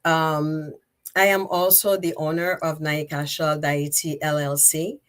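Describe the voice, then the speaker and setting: A woman's voice: low voice, level tone, measured pace, fairly bright tone. A middle-aged woman with a Haitian accent, a native Creole speaker, answering conversationally as an interview guest. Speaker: guest, nonprofit executive director. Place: remote video call.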